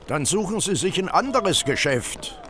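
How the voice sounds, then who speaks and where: A surly poultry dealer in an audio drama. He is curt and combative, with a tense, forceful voice pitched a little high. Faint street ambience sits behind him. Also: big pitch swings, measured pace, a little hiss or hum, exaggerated voice. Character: surly, irritable male London poultry dealer. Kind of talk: German audio drama acting.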